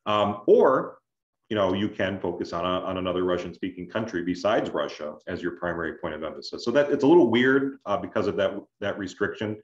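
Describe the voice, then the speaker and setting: A man explaining things as an adviser in an online information session, in a measured, conversational way with check-in questions. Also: low-pitched, lively intonation. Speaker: scholarship adviser, presenter. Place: indoors on a video call. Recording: roomy, dull video-call audio.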